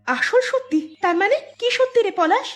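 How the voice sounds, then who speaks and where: A woman's voice: very high voice, expressive, normal speed. A woman playing a wronged, indignant village wife in a cartoon drama. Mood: suspicious. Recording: loud music behind the voice.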